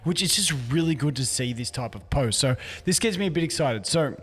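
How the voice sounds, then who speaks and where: Hoarse man chatting about a game update. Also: on the high side, lively intonation, brisk, a bit bright, in a large hall. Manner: chatty and easygoing, restarts words often. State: hoarse, losing his voice. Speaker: gaming YouTuber. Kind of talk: casual game news commentary, reading patch notes.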